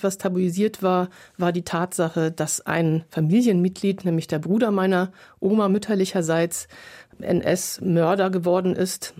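A woman's voice: lowish voice, dark tone. A woman therapist speaking as an interview guest, reflecting aloud in an earnest, searching way.

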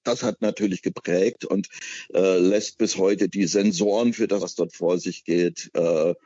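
Husky low-voiced man, halting radio guest. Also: fairly even intonation, mellow, dry recording. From East Germany. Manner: hesitant, many filler sounds. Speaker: festival curator. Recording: dull lo-fi broadcast audio.

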